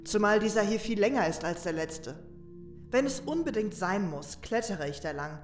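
A woman's voice: medium pitch, animated, normal speed, natural voice. A woman playing a reluctant, irritated female thief in a fantasy audio drama, with a grudging, complaining delivery. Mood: annoyed, reluctant. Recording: roomy echo, music behind.

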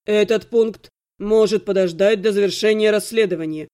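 A woman voicing a businesslike male mage investigator in an audiobook, speaking in an official, measured way. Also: medium pitch, mellow, voice pushed down, close to an everyday voice. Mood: formal. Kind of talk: audiobook character acting.

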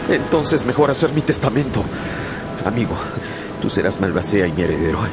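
An actor playing a young sailor who asks nervous questions in a radio drama. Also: male voice, fairly low pitch, big pitch swings, a little fast. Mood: uneasy. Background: dramatic music.

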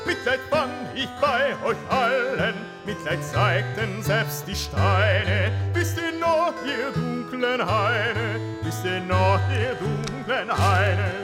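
Slow, sorrowful operatic woman. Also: mid-range voice, animated. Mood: sorrowful lament. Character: sorrowful opera singer lamenting to the groves. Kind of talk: operatic singing and slow recitation. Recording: loud music with the voice.

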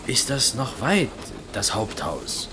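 An actor in a radio play voicing an earnest, stubborn village potter with a plain, hesitant yet insistent way of talking. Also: male voice, medium pitch, huge pitch swings, slow, noisy background. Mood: guarded. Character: earnest, stubborn young village potter searching for his cousin.

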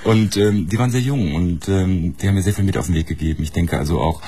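A man's voice: low-pitched, fast, dark tone, a little hiss or hum. A man in his thirties who grew up in Norway, a studio guest talking frankly and articulately about his life. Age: 30s. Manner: frank, articulate, self-assured. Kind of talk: candid interview talk. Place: radio studio.

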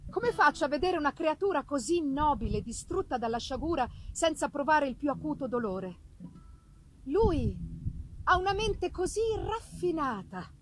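Woman, high voice, dramatic novel reading, expressive and measured, deliberate pauses, slightly noisy recording. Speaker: reader of a novel. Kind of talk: dramatic literary narration. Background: live musical accompaniment announced for the reading.

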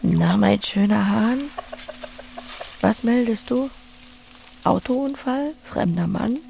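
An actress playing an earthy, unflappable pig farmer in an audio drama, with a plain, dry manner. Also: female voice, medium pitch, expressive, slow pace, vocal fry, dark tone, slight background noise, heavily acted. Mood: playful, conspiratorial. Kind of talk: German audio drama acting.

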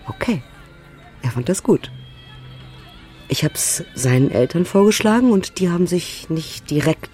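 A woman playing an outspoken, exasperated partner in a cross-cultural couple, retelling their exchanges in a chatty, conversational way for a radio drama. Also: sits low. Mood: matter-of-fact. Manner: chatty, animated retelling, quoting both sides.

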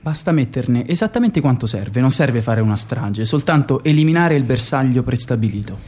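An actor playing a cold, methodical secret-service bomb maker who recounts operations in a precise, matter-of-fact radio drama monologue. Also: male voice, medium pitch, expressive, normal speed, darkish tone, breathy edge. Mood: detached, precise.

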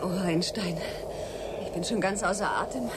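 A woman's voice: on the low side, normal speed, lightly acted. Woman playing a self-assured space pilot, noisy recording. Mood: breathless wonder. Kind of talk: German radio drama acting.

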